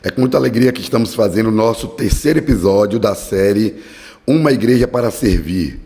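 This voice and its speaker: Brief: hoarse male Bible teacher, fervent preaching cadence, long reverberant hall. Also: on the low side, fairly quick, mellow. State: hoarse from a cold. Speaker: Bible study podcast host. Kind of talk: devotional teaching with prayer.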